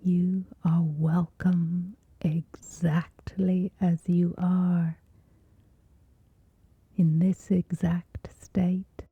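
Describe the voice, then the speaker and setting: A woman with a soft, whispery voice gently guides a scripted sleep meditation, soothing, with long pauses between short phrases. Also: on the low side, level tone, husky, no room sound, lo-fi sound.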